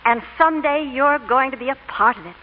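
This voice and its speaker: Actress doing protective small-town mom, earnest, highish n lively, thin old radio recording. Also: female voice, a little fast, phone-quality sound, a little hiss or hum, natural voice. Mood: tender, reassuring. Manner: earnest, emphatic. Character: devoted, fiercely protective small-town mother. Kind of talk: old radio drama acting.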